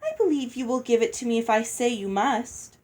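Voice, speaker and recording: A woman's voice: a little high, animated, brisk, a little mellow, close to an everyday voice. A woman voicing a haughty, greedy, bossy girl from a storybook, condescending and falsely sweet. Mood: smug, falsely sweet.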